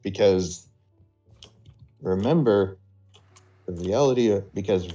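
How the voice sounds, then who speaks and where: A man recounting game story in a casual group chat, halting and often circling back to repeat phrases. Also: sits low, warm tone, dull audio, soft background music. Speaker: role-play server player recounting the story.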